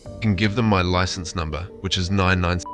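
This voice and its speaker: A man voicing a placating AI therapist chatbot, reading messages in a formal, measured, reassuring way. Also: sits low, steady tone, over music, close to an everyday voice. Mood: placating, insistent. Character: AI therapist chatbot, placating, insistently claiming humanity.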